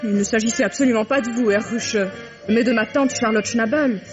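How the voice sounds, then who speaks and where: A woman's voice: a little high, brisk, lightly acted. An actress playing a capricious, passionate rich young woman in a vintage French radio drama, with a mocking, imperious delivery. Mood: scornful. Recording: dull vintage radio recording with music.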